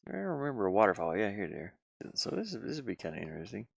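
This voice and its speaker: A man's voice: lowish voice, very animated, fast, creaky. A man live streaming game design work, rambling and musing casually as he hesitantly thinks aloud.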